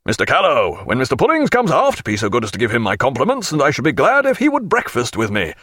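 A man's voice: on the low side, big pitch swings, fairly quick, creaky, fairly bright tone, no echo, studio quality, heavily acted. A man voicing a genial, hearty naval captain with a bluff, courteous, old-fashioned way of talking, in an audiobook. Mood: hearty, welcoming.

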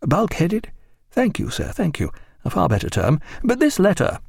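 A man narrating plays a bookish Admiralty official in a historical naval audiobook. The voice sits raised in pitch, and he speaks with formal, deferential precision and an anxious courtesy. The recording is dry. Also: wildly swooping pitch, moderate pace, vocal fry, darkish tone, breathy edge, dry recording, full character voice. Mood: courteous, discreet.

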